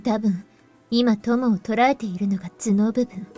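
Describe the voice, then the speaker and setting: A determined anime schoolgirl, acted by a woman with a fairly high voice, in visual novel style. Faint game music plays behind her. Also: a little fast, mellow, close to an everyday voice. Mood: calm, hopeful. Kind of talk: Japanese visual novel voice acting.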